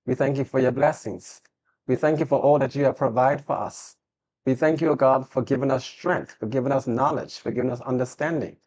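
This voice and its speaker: A talk-show host, speaking at a medium pitch. The host sounds earnest, opening with a heartfelt prayer and warmly affirming the guest between questions. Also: fairly quick, muffled recording.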